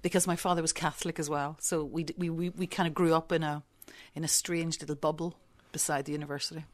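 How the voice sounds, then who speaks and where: A woman's voice: deep voice, level tone, fast. A woman with a Belfast accent, talking conversationally about her memories as an interview guest. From Belfast, Northern Ireland. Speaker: interview guest, visual artist. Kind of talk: conversational reminiscence.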